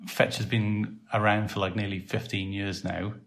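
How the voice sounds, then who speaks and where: A Welsh man with a very low voice hosts a casual podcast. He rambles along easily, full of ums and little restarts. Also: moderate pace. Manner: relaxed and rambling, with many fillers. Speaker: podcast host and site developer.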